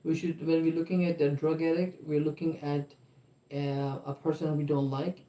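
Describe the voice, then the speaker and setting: A man's voice: on the high side, level tone, normal speed, mellow. A man co-hosting a livestream, earnestly reasoning aloud and checking in with "right?" and "why?". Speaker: vlog co-host on a livestream. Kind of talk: casual livestream chat. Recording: roomy room sound.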